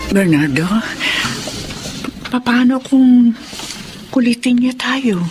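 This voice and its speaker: A woman's voice: fairly high pitch, lively intonation, extremely slow. Worried Filipino mother over faint music. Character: gentle, worried middle-aged mother keeping a secret. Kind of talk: Filipino radio drama acting.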